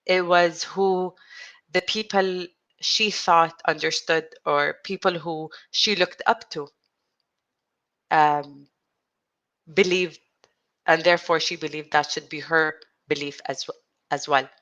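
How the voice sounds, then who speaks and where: A woman in her thirties, an interview guest telling her own story in an earnest, reflective way, speaking with a stutter, frequent restarts and pauses. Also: low voice, steady tone, a bit bright, highs cut off. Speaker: interview guest, support group founder. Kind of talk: personal storytelling in conversation.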